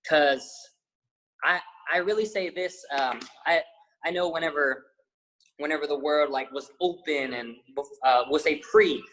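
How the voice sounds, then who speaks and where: A man's voice: a little high, measured pace, slight background noise, poor audio. A young man, a fitness trainer, chatting casually as an interview guest, rambling with lots of fillers and restarts. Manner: says he has a loud voice.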